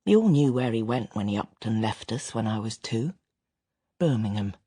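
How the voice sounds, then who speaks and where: A man's voice: on the low side, animated, normal speed, mellow, slightly rough, no echo, studio quality. An actor playing a reflective grown son, confiding wistful memories of an absent father in an intimate radio drama monologue. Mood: wry, reminiscing. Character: reflective adult son recounting his estranged father.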